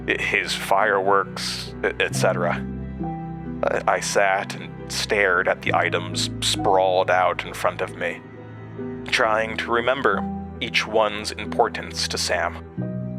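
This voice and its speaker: A traumatized man narrating his past in a horror audio drama. His voice is low and very slow, with halting stammers and deliberate phrasing. Music plays clearly beneath him. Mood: grieving, numb. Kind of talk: audio drama monologue, first-person narration. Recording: music under the voice.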